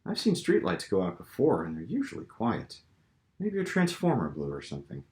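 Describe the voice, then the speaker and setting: A man voicing an expressive, lilting woman in love, a playful and uneasy heroine of a novel. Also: low-pitched, normal speed. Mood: chatty, puzzled. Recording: roomy, echoing room sound.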